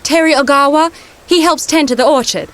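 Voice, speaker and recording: An actress playing a plucky, determined young time traveller in a comic audio drama, brisk and assertive. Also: female voice, sits high, a bit bright, slight background noise. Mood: purposeful.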